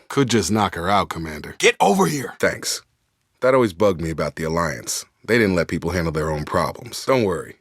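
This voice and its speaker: A loyal, no-nonsense soldier from a sci-fi video game. His voice sits low and deep. He speaks plainly in a clipped, guarded military manner, recorded dry with no room sound. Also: no room sound. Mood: stern, urgent. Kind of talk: video game character dialogue.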